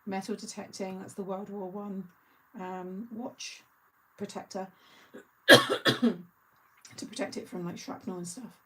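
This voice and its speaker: A woman's voice: medium pitch, fairly even intonation, slow pace, fairly bright tone, breathy edge, low-quality recording. A middle-aged woman hosting a craft channel, chatting casually and rambling through asides with frequent laughter. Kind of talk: casual live chat.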